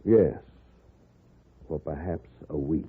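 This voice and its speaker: An actor playing a grave, matter-of-fact frontier doctor, measured and clinical, in an old radio drama. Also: male voice, extremely low pitch, breathy edge, heavily acted. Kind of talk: 1950s radio western acting. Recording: muffled old radio recording.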